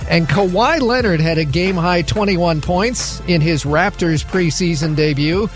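A man delivering a radio sports news roundup, reading scores briskly and adding wry asides. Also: a little high, soft background music. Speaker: sports update anchor.

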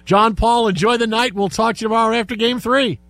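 A man's voice: very high voice, steady tone, brisk, creaky, fairly bright tone, no echo. A man hosting a sports talk radio show, bantering and teasing his co-hosts in a joking way.

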